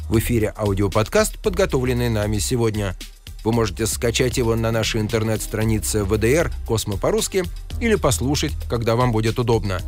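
A male announcer reads the opening of a radio podcast. His voice moves lively up and down, and music plays softly under it. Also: medium pitch. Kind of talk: scripted show intro.

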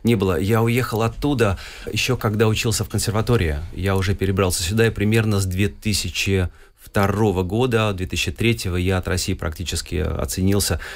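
Middle-aged guy from russia, low voice, rattles off his story quick with little uhs. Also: fairly even intonation. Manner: fast, fluent storytelling with fillers. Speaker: guest musician. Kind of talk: radio interview answers.

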